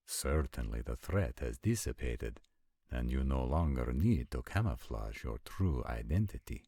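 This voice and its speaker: A man playing an ancient supernatural warrior in a roleplay audio drama, speaking formally and deliberately with concerned, protective gravity. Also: extremely low pitch, lively intonation, dark tone, no echo, studio quality, heavily acted. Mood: curious, puzzled. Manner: formal, measured, stilted diction with deliberate pauses. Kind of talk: scripted roleplay audio acting.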